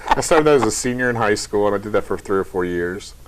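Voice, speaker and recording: A young man from Kentucky with a very deep voice, chatting casually in a TV interview as a theater director. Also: fast, a loud crowd, rough recording. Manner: relaxed, chatty, light laughs.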